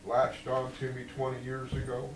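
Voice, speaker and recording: A man giving an earnest, halting testimony in a small church. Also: mid-range voice, completely flat delivery. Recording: echoey room, distant mic, noisy.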